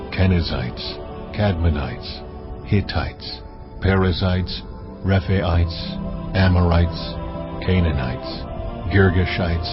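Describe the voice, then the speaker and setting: An actor voicing God in a dramatized scripture reading, solemn, deliberate and authoritative. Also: male voice, sits low. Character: God, a solemn, commanding, majestic male divine voice. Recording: slightly dull audio, music behind. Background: background music.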